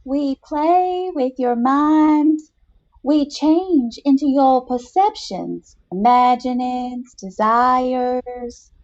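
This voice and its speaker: A woman's voice: high-pitched, extremely slow, darkish tone, highs cut off, full character voice. A woman voicing a coy, loving young Southern belle in a dramatic story reading, sweet and playful with a Southern US accent. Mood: coy, teasing.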